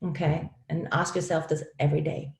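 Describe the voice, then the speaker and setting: A woman with a German accent coaches her listeners over a video call, upbeat and encouraging, often laughing between points. Her voice sits a little low. The sound carries a noticeable room echo. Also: little pitch movement, fairly quick, creaky, darkish tone. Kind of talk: online coaching talk.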